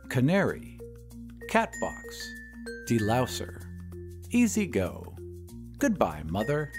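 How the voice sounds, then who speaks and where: A man narrating an audio drama in a measured, expressive way. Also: mid-range voice.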